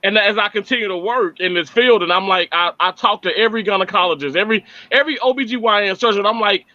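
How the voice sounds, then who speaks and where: A man's voice: sits high, quick pace, sharp tone, dry recording, lo-fi sound. A man from Mississippi chatting on a live stream from his family home, upbeat, earnest and faith-filled. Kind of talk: casual live stream chat.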